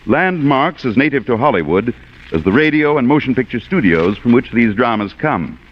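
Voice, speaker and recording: An American man hosting a radio theater, giving a scripted introduction in a formal, measured 1940s broadcast manner. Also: medium pitch, very animated. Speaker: guest producer and host of a radio theater. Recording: muffled, noisy vintage radio broadcast.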